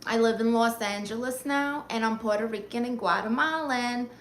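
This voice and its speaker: A woman's voice: on the high side, moderate pace, background noise. A woman from Brooklyn, chatting casually on a live stream, greeting viewers and firing back sassy, playful comebacks to their comments. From Brooklyn, New York. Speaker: livestreamer.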